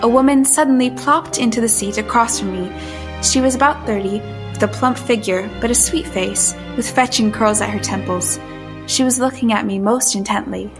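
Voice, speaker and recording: Actress as a genteel, bewildered young woman, higher voice. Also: moderate pace, natural voice. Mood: narrating. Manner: proper, genteel period phrasing. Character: bewildered, well-mannered young asylum escapee in 1871, narrating her tale. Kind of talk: audio drama dialogue and first-person narration. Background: music.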